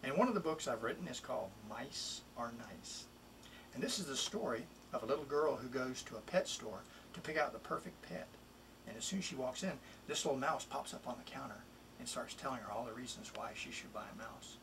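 A middle-aged man, a children's author, introducing and expressively reading a picture book in a deliberate, emphatic way. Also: mid-range voice, expressive, vocal fry. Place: small wood-panelled home office, indoors. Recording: distant mic, room noise.

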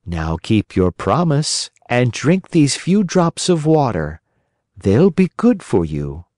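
Loving fairy woman, gently coaxing; male reader, light voice, slow. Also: mid-range voice, wildly swooping pitch, no echo, studio quality, pitched above the performer's own voice.

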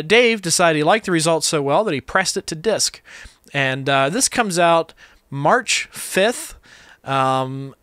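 Man, clear higher-pitched voice, casual explainer. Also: sharp tone, no room sound. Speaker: audio restorer presenting a how-to. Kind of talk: casual how-to narration. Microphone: close microphone in front of him.

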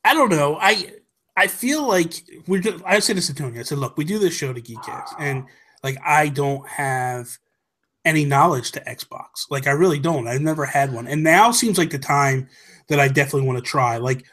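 An American man with a slightly high voice talks casually about games as a podcast co-host. He is emphatic and often repeats himself to drive a point home, speaking close to a desk mic. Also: animated, moderate pace, fairly bright tone. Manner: emphatic, repeats phrases for stress. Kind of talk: casual gaming chat. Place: small home room, indoors. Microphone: desk microphone close up, headset.

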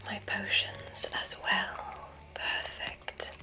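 A woman whispering in a breathy, gentle way, playing a gracious witch dean in an ASMR roleplay. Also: low-quality recording. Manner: gentle, drawn-out, effusively complimentary. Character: gracious, welcoming witch dean of a magic school.

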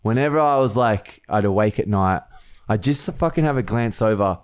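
A man's voice: on the low side, expressive, normal speed, smooth. A man in his thirties with an Australian accent, chatting casually and swearing as a comedy podcast co-host, close on a studio mic. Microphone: close boom-arm podcast mic.

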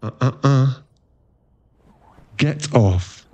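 A man playing a playful, teasing boyfriend in an intimate ASMR roleplay, talking haltingly and acting mock-offended and cajoling. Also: mid-range voice, darkish tone, no room sound, muffled recording. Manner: teasing, halting, intimate one-sided chat.

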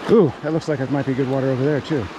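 Rambling man by a rushing stream. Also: medium pitch, steady tone. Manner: rambling, thinking aloud with fillers and restarts. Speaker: fishing vlogger. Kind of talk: casual narration while hiking and fishing. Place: outdoors by a mountain stream. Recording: outdoor recording with stream noise. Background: rushing stream water.

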